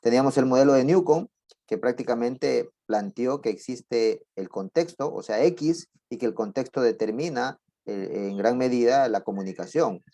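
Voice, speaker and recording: Middle-aged professor, mellow dark voice, digressive classroom chat. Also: male voice, medium pitch, moderate pace, no room sound. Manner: digressive, chatty, with asides and laughs. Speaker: university lecturer. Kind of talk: conversational online lecture.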